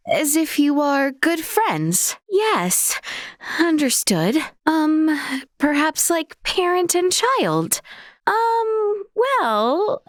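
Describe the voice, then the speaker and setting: A woman's voice: high voice, no echo, studio quality, full character voice. An actress playing a polite, earnest young noblewoman in a fantasy video game, speaking in formal, archaic, courteous phrases. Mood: flustered. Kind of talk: video game character voice acting.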